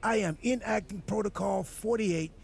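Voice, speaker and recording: An actor playing a goofy, self-important wrestler turned boss in a video game cutscene, with a gravelly, husky voice and an earnest, absurdly literal, rambling manner. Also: male voice, high voice, moderate pace. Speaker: wrestler acting as general manager.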